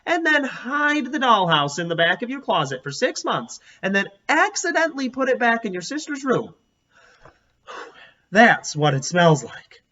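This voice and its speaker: A man voicing an earnest, excitable little schoolboy in a children's storybook read-aloud. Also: very high voice, moderate pace, far from the mic, lo-fi sound, voice pushed up, exaggerated voice.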